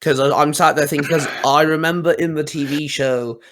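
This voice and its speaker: A man's voice: a little high, steady tone, fairly quick, noisy background. A man hosting a podcast, asking questions in an informal, roundabout, conversational way. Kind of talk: casual conversational interview.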